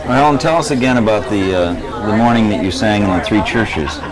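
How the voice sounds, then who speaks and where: A man's voice: on the low side, animated, brisk, low-quality recording. A man interviewing guests at a garden party, asking friendly prompting questions. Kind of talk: casual interview. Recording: loud party crowd behind.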